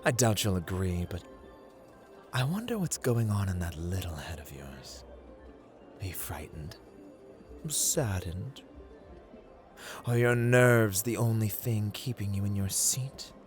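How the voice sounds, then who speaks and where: A man playing a playful, taunting god of luck who deals cards, in a theatrical ASMR roleplay with deliberate speech and hushed murmurs. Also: on the low side, lively intonation, soft background music. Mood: taunting, intrigued. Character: playful, taunting male god of luck, smooth-talking card dealer.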